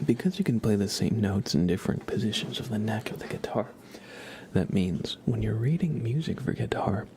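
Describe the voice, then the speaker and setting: A soft-spoken man chatting casually on an ASMR livestream, drifting in and out of a whisper. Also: fairly low pitch, gravelly, slight background noise.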